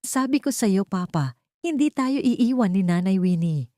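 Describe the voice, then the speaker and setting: A Filipino woman voicing an excited, devoted little boy who exclaims joyfully in an audio story. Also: medium pitch, normal speed, no echo, clean studio sound, close to an everyday voice. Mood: happy, reassuring.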